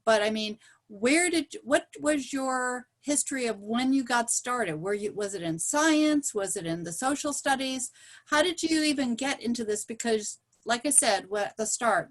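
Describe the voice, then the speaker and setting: A woman's voice: a little high, a bit bright. Eager woman host asking questions. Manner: enthusiastic, stacks several questions at once. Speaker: podcast host. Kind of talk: interview questions.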